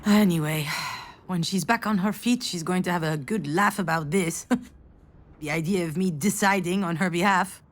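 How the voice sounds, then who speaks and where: An actress playing an anxious, sardonic, self-deprecating daughter in a visual novel, speaking in a wry, confiding way. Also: female voice, lowish voice, moderate pace, close to an everyday voice. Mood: indignant. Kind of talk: visual novel voice acting, inner monologue and dialogue.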